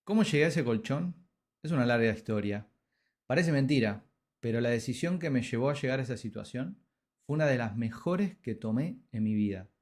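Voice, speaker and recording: A man in his thirties with an Argentine accent, hosting a podcast and telling his life story in a reflective, scripted way with dramatic pauses. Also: medium pitch, animated, darkish tone. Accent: Argentine Spanish. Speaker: podcast host. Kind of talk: scripted personal narration.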